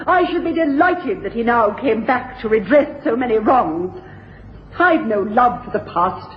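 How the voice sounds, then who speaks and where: An actress playing a proud, impassioned upper-class Victorian woman in a radio drama, formal and emphatic. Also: fairly high pitch. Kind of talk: courtroom radio drama acting. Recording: dull radio recording, long hall echo.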